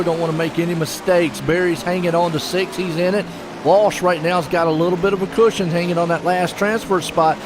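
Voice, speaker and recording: A man hosting and commentating a sim race stream, chatty and folksy, joking with his co-host. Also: high-pitched. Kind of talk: casual sim racing commentary. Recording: noisy broadcast mix with engine noise.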